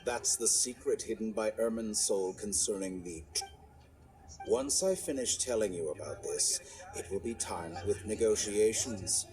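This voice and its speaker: An actor voicing an arrogant, scheming male scholar villain in a game cutscene, smooth and mocking, sometimes breaking into shouts. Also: fairly low pitch, expressive, unhurried, poor audio. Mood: smug, calculating. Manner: smooth, mocking, theatrical, with sudden shouting outbursts. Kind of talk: video game cutscene voice acting. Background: game score music.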